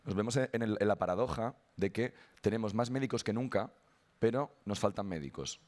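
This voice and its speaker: A young man with a Spanish accent giving a conference talk, his voice hoarse after an illness, hesitant with frequent restarts. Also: lowish voice, expressive, a little fast, a little mellow. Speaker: conference speaker.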